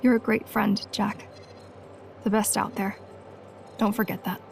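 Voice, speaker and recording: A woman's voice: medium pitch, level tone, a little slow, vocal fry, slight background noise. A woman playing an earnest, loyal, reserved leader in a video game, speaking in short, sincere phrases. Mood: sad, sincere.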